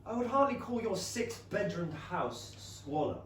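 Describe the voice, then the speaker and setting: An actor playing a proud, aloof Regency gentleman with a formal, dry, clipped manner. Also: high-pitched, big pitch swings, a little slow, heavily acted. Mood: indignant. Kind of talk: period comedy stage acting. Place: small London theatre. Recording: very echoey theatre, far mic, faint noise.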